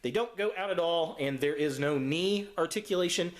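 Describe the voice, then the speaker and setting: A man presents a casual toy review in a chatty, slangy manner. His voice sits a little higher than most men's, and the room adds a noticeable echo around it. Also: moderate pace. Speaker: host of a toy review channel. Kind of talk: casual unboxing review commentary. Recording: roomy, echoey sound.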